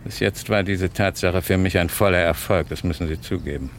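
An actor playing a cunning, smug blackmailer in a vintage German radio crime drama, with a gravelly, insistent, taunting delivery. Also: male voice, sits low, fairly even intonation, fairly quick. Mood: smug, gloating. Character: cunning, smug male blackmailer and hotel thief. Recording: noisy old radio drama recording.

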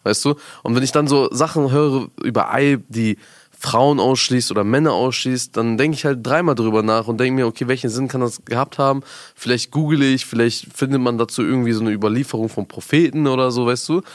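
A young man talks in a casual, conversational way with plenty of youth slang. His voice sits at a middle pitch, and he keeps checking that his listener follows. The sound is dry, with no room echo. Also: brisk. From Afghanistan. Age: young adult. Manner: informal youth slang, frequent check-in questions.

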